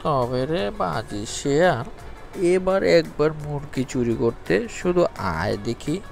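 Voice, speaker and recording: A man's voice: fairly high pitch, lively intonation, slow pace, vocal fry, heavily acted. A man voicing an indignant village farmer who exclaims and threatens in a cartoon fable. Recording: music under the voice.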